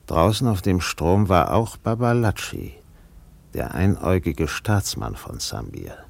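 Deep, gravelly male radio-play narrator. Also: big pitch swings, no echo. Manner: grave, deliberate. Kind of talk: scripted literary radio drama narration.

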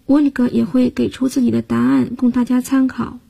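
A woman's voice: a little high, level tone, moderate pace, vocal fry, warm tone, breathy edge. A woman hosting a radio language lesson, patiently explaining grammar and guiding listeners step by step. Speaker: language teacher and host.

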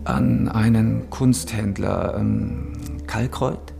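Creaky, low-voiced gallery owner. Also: male voice, steady tone, extremely slow, music in the background, exaggerated voice. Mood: subdued, uneasy. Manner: informative, explanatory. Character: uneasy, explanatory male art gallery owner. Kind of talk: radio drama acting, measured dialogue.

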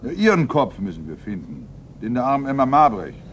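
A man's voice: medium pitch, very animated, fairly quick, a little hiss or hum, close to an everyday voice. A man acting a seasoned, middle-aged police inspector in a German radio crime drama, curt and authoritative. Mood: brusque. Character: seasoned, brusque police inspector giving orders.

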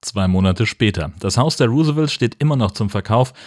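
A man's voice: lowish voice, animated, fairly quick, darkish tone. A man hosting a podcast, moving between casual chat and comedic scripted narration. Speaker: podcast host.

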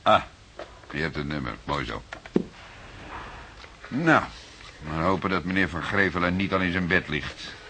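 Deep voiced veteran detective, dry n fatherly, stubborn, old radio play with loud hiss. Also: expressive, measured pace, long pauses, exaggerated voice. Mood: musing, dry. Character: unhurried, wry, stubborn veteran police detective. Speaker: police detective. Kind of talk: radio drama acting. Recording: noisy archive radio drama recording.

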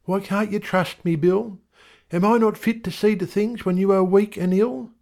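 A man's voice: high voice, steady tone, normal speed, creaky, a little mellow, voice pushed up. A man voicing a firm, motherly young wife, scolding and fussing over her sick husband.

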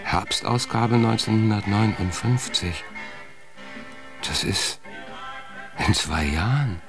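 A man's voice: fairly low pitch, slow, music in the background. An actor playing a skeptical, puzzled man in a German radio drama, terse and pensive.

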